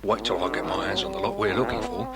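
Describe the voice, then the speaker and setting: An actor playing a burly, bad-tempered British thug, rough and threatening, in a children's adventure audio drama. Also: male voice, low-pitched, quick pace, over a noisy crowd, natural voice. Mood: menacing. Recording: noisy old cassette recording.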